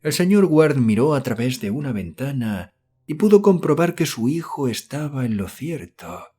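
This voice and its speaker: Measured male audiobook narrator. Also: mid-range voice, big pitch swings, mellow, husky. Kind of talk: scripted narration of a horror novel.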